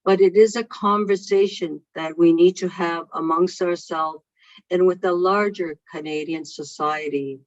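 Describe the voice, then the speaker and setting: A keynote speaker for whom English is a second language, reading a prepared speech in a slow, deliberate way over a video call. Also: mid-range voice, steady tone, no echo. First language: not English. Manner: slow, measured and deliberate delivery. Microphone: video call microphone. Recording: dull lo-fi online call audio.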